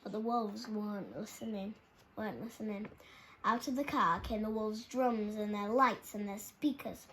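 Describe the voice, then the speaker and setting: A woman's voice: medium pitch, moderate pace, a bit bright. A woman reading a children's storybook aloud as its narrator. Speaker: storybook reader. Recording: clearly noisy background.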